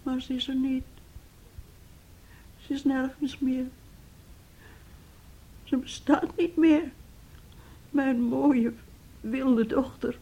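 A woman's voice: high-pitched, steady tone, dark tone, slightly rough, highs cut off, exaggerated voice. An actress in a radio play voicing a despairing middle-aged mother, with a brooding, anguished way of speaking. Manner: slow, brooding, anguished storytelling. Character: despairing mother, an aunt, anguished over her missing son. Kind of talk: Dutch-language radio play acting.

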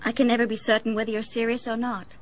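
A woman's voice: a little high, level tone, quick pace, slight background noise, lightly acted. An actress playing a self-assured, rich young American woman in a radio drama, direct and confident, with an American accent. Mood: frank, curious.

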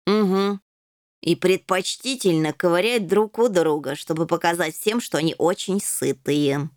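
A woman's voice: medium pitch, fairly even intonation, moderate pace, darkish tone, no room sound, studio quality, lightly acted. Woman as a gloomy, sardonic striped kitten boy. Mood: grim. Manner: grim, muttering, mocking. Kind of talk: children's storybook character voice.

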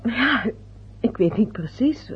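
An actress playing a polite young fiancée in a radio play, with a breathy voice and nervous little laughs. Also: female voice, on the high side, animated, takes it slowly, highs cut off, exaggerated voice. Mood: earnest. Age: young adult.

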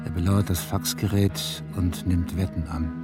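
An actor playing a stern, weary police detective in a crime radio play, terse and brooding. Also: male voice, low voice, fairly even intonation, unhurried, loud music behind the voice. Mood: brooding, reflective. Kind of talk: German radio drama acting, inner monologue.

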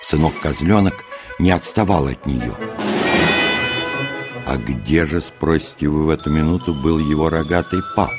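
A man narrating a scripted children's nature tale as a storyteller, unhurried and expressive, putting questions to the listener. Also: rumbling low. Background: music.

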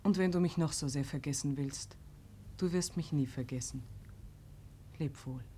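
Woman playing young daughter in a radio drama, low voice, tender and pleading. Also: moderate pace, mellow, slight background noise, close to an everyday voice. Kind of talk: radio play acting.

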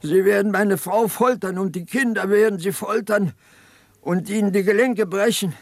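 An actor in a 1950s German radio drama playing a guilt-ridden peasant partisan, brooding and insistent. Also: male voice, high voice, normal speed, no echo. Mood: agitated, anguished. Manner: brooding, insistent confession, swinging from muttering to agitated outbursts. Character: haunted, guilt-ridden peasant partisan soldier, family man.

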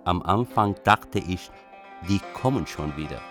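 A low man looking back on a rebellious youth, dry and reflective. It is a radio play monologue with guitar music faintly underneath. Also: expressive, a little slow. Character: Baghdad man wryly confessing his rebellious schooldays. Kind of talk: scripted radio drama monologue.

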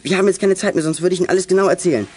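A man's voice: high voice, very fast, darkish tone, slightly rough, no echo. A young man playing an eager, polite teenage boy detective in a children's radio drama, speaking earnestly. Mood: urgent, polite. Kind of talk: 1980s German children's radio drama acting.

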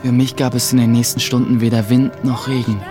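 A man playing an earnest young sorcerer's apprentice who narrates his own story in a measured way for a radio play. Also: mid-range voice, flat delivery, natural voice. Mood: earnest, longing. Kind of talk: scripted first-person narration in German radio play. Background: music.

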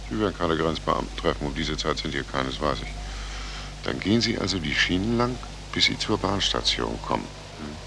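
An actor playing a gruff, stern but grudgingly helpful policeman in a 1940s radio drama, curt and commanding. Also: a bass voice, full character voice. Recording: noisy old 1940s radio recording.

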